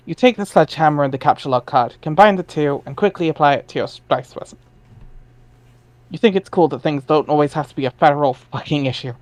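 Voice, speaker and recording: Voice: medium pitch, fast, no echo. An informal, chatty speaker talking casually and narrating for an amateur dramatic reading.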